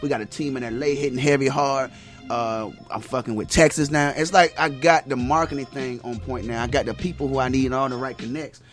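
A man in his forties speaks in an average-pitched voice, laying out business plans in loose, casual slang. Music plays clearly behind the conversation. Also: fairly even intonation, fairly quick. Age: 40s. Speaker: father and manager of the guest artists. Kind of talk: casual interview chat.

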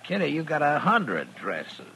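An actor playing a steady, easygoing frontier marshal in a 1950s Western radio drama, plain-spoken, on an old radio recording. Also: male voice, a little high, a little slow, a little hiss or hum, full character voice. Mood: friendly, teasing.

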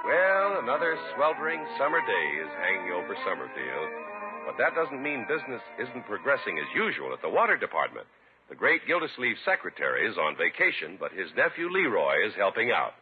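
A man's voice: mid-range voice, wildly swooping pitch, brisk, faint music behind. Dramatically swooping male vintage radio announcer. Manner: polished, emphatic sales delivery. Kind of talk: 1950s radio announcing, scripted narration and sponsor reads. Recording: muffled narrowband 1950s radio recording.

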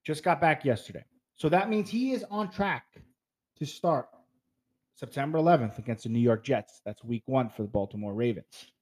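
A man's voice: medium pitch, expressive, moderate pace. A man in his thirties from New Jersey hosting a fantasy football podcast, chatty and upbeat, talking straight to his listeners while stuffed up with a cold. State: stuffed up, congested. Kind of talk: casual podcast monologue.

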